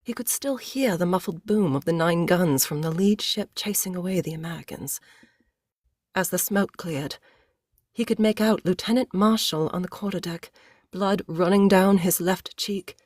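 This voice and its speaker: A woman's voice: on the low side, normal speed, a little mellow, dry recording, clean studio sound. A woman reading a novel aloud in audiobook-style scripted narration.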